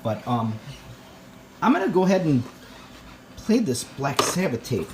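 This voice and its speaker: A man giving a casual show-and-tell in a halting, rambling, enthusiastic way, recorded indoors at home on a handheld phone. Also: on the high side, sing-song, a little hiss or hum. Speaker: vlogger, tape collector. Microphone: handheld phone near the speaker.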